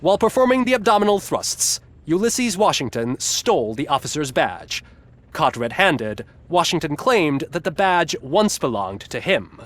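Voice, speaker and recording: Lively, higher-voiced glib male news anchor. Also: normal speed. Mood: wry, polished. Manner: polished, upbeat, smugly ironic. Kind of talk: scripted satirical newscast narration.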